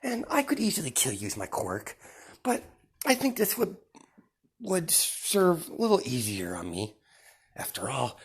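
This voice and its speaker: A woman voicing a gleeful, sadistic young male villain in a fan story, with a mocking, drawn-out, often whispered delivery. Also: mid-range voice, breathy edge, pitched above the performer's own voice, heavily acted.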